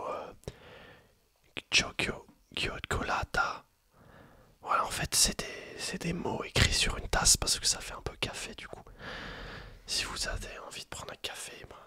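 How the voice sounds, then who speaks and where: A person whispering in an ASMR roleplay as a coffee shopkeeper, speaking to the listener in a hesitant, improvised sales patter with an airy, breathy voice. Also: sharp tone, no echo. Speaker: ASMR performer playing a coffee roastery shopkeeper.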